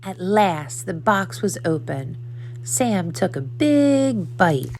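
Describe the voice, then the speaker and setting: Slow, low-voiced woman reading a storybook. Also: very animated, a little mellow, slight background noise. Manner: simple, deliberate storytelling for young children. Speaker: storybook reader. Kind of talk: children's read-aloud narration.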